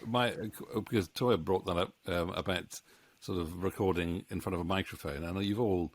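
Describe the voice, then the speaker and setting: Halting deep-voiced male host. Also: dry recording. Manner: hesitant, halting, circling sentences. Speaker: interview host, audio drama maker. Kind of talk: casual chat, moderating. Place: home office indoors. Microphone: studio mic on boom arm, close.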